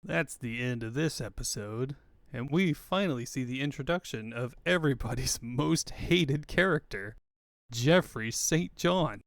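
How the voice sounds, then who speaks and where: A man's voice: medium pitch, animated, unhurried, dry recording, clean studio sound. A man hosting a comic fandub with casual, chatty commentary, joking and swearing freely. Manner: jokey, sweary. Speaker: host and fandub reader.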